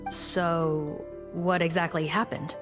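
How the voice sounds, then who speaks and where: An actress playing a sharp, sceptical female investigator in a video game, with a probing way of talking. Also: on the low side, little pitch movement, slow, music in the background.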